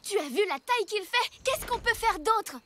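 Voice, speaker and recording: A woman's voice: very high-pitched, animated, normal speed, sharp tone, slight background noise, exaggerated voice. A woman voicing a sensible, wary child who is the hero's friend in a cartoon. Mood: worried. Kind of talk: French animated children's cartoon dub.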